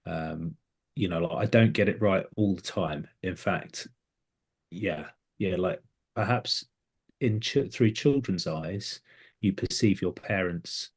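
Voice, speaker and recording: A man's voice: deep voice, slow pace, husky. A middle-aged man co-hosting a casual, reflective chat, speaking tentatively and often rephrasing himself. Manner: tentative, self-correcting. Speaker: podcast co-host.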